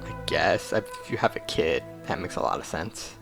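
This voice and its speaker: A man's voice: a bass voice, lively intonation, normal speed, gravelly. A man casually commenting in an offhand, laid-back way while playing a game. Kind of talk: casual commentary over gameplay. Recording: mic over loud game music.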